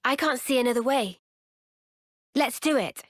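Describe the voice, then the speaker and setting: A woman playing a composed, caring young female soldier in a video game, with a gentle, serious manner. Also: high-pitched, a little slow, no room sound, studio quality. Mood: concerned, steady. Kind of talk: video game cutscene voice acting.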